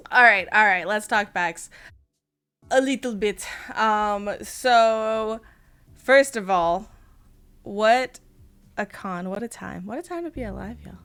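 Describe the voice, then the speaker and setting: A woman hosting a casual livestream chat, her voice hoarse after losing it, giggling and chatting to her viewers. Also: medium pitch, slow, a bit bright. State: hoarse, partly lost voice. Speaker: streamer host.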